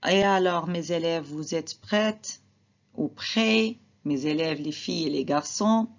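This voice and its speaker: A woman teacher slowly explaining and repeating examples to her students, talking to them directly. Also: sits low, vocal fry, highs cut off. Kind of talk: slow classroom explanation.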